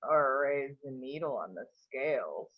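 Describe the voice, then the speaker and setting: A man's voice: mid-range voice, animated, a little slow. A man playing a bitter, volatile older father in an amateur script read. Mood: dry, teasing. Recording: dull lo-fi home recording.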